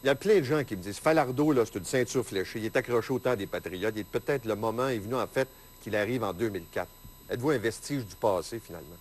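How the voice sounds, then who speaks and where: A man's voice: lowish voice, quick pace. A man with a Québécois accent interviewing a guest, pressing his questions and cutting in. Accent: Québécois French. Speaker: radio interviewer.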